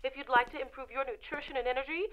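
Actress as brisk businesswoman on an outgoing voicemail, fairly high voice, lo-fi telephone filter. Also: steady tone. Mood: brisk, businesslike. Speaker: actor in a radio drama. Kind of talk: voicemail greeting. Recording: dull phone voicemail, faint noise.

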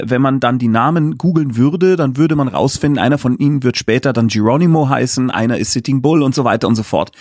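A man's voice: mid-range voice, no echo, studio quality. A man speaking as a guest director in a casual interview, explaining things in chatty, enthusiastic detail. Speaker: guest, audio drama director.

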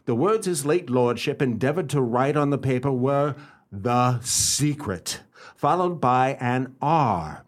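An actor playing a self-assured, courteous male detective in a radio play, speaking formally and deliberately as he explains. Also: mid-range voice, lively intonation. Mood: confident, explanatory. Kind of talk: radio play acting and narration.

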